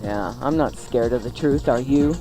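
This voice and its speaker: A woman's voice: extremely low pitch, moderate pace, poor audio. A woman co-hosting a radio show, dropping short, playful remarks into casual banter. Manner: playful, brief interjections. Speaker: co-host. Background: music.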